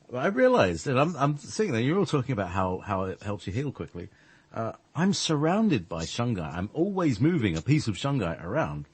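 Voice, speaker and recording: Husky, low-voiced man rambling on air. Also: no echo. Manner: hesitant, many restarts and fillers. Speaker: radio show guest. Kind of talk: rambling conversational chat.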